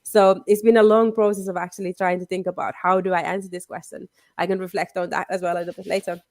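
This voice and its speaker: A woman's voice: lowish voice, flat delivery, quick pace, darkish tone, dry recording. A woman with a cold and a nasal voice, speaking as a panelist over a video call and thinking aloud in a conversational way. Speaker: panelist, legal historian. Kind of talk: panel discussion.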